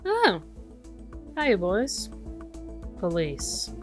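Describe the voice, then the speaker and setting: A woman's voice: mid-range voice, huge pitch swings, very slow, over music, exaggerated voice. A woman playing a tough, wry, foul-mouthed police officer in an audio drama, dry and commanding.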